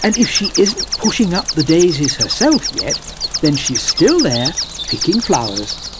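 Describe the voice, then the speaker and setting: A man narrating a children's fairy tale from a script as an expressive, wry storyteller. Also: a little high, a little slow.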